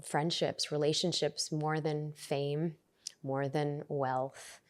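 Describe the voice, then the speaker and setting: Low, reflective woman guest in a podcast interview, earnest, restarts often. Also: level tone, takes it slowly. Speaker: podcast guest, resilience coach. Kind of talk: conversational interview.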